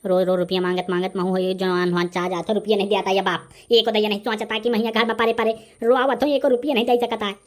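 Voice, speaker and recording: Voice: medium pitch, little pitch movement, fast, hardly any pauses, lightly acted. An actor with a Bundelkhandi accent voicing a brash, argumentative village man haggling in a comedy cartoon. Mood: grumbling.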